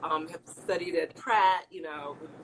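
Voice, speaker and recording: A woman's voice: on the low side, moderate pace, crowd noise, low-quality recording. A middle-aged woman from the American Midwest, chatting casually as an interview guest, laughing often and restarting her sentences.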